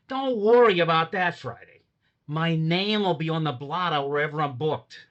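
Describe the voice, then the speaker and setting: A man's voice: on the high side, a little slow, a bit bright, dull audio. An actor in his forties playing a cagey, evasive male crook in a radio drama. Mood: defiant. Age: 40s. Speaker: suspect.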